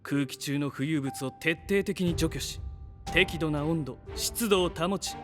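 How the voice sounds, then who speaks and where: Casting: male actor as coolly arrogant boy-genius researcher, high voice, pompous formal diction, anime drama, soft music under. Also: measured pace, close to an everyday voice. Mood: self-assured. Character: haughty, logical young male scientist-knight, coolly self-assured. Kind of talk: anime-style audio drama acting.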